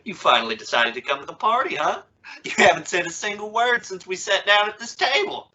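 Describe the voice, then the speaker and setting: A man's voice: high-pitched, lively intonation, fairly quick, pushed and bright, dull audio, full character voice. A man playing an exasperated, emphatic father in an old-time radio family comedy. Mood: sardonic. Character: exasperated 1950s sitcom father scolding his family.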